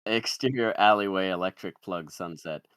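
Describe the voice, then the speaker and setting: A man's voice: deep voice, normal speed, fairly bright tone, smooth, no echo, studio quality. A man reading screenplay stage directions as scripted narration.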